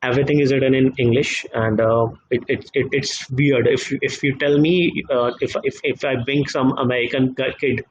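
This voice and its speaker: Man, guest on a call, halting and repetitive delivery, dull lo-fi line. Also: mid-range voice, a little hiss or hum. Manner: halting, many fillers and repeated words. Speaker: guest economist. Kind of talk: live online discussion.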